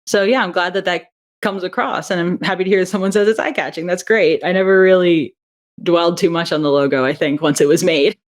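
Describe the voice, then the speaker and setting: A woman's voice: on the low side, fairly quick. A woman guest on a podcast, an audio drama creator, talking chattily with many fillers. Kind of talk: casual interview chat.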